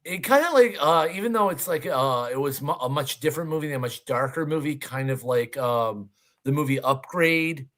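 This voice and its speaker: A man co-hosting a movie countdown show, chatting casually with a hesitant, halting delivery. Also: a little high, a bit bright. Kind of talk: casual film chat.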